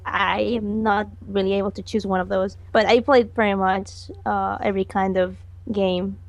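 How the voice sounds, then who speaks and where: A young woman giving a casual interview over a voice call as an animator. She talks in halting bursts and laughs often. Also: medium pitch, flat delivery, creaky, no room sound. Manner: giggly, halting, speaks in bursts. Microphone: computer mic on a voice call.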